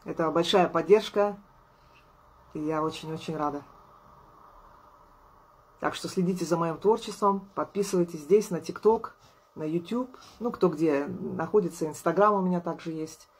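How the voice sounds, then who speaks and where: Tired, chatty woman with a husky voice. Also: on the low side, normal speed, pauses often. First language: Russian. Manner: tired, chatty, warm to followers, laughs often. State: low on energy. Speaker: artist and musician vlogger. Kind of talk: casual talk to camera. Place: indoors at a table, small room.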